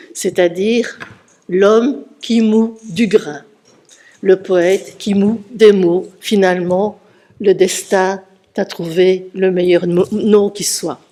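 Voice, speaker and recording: A woman presenting and interviewing a poet, calmly explaining his background in a measured way. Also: medium pitch. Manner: measured, explanatory, reading out a biography. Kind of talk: literary presentation.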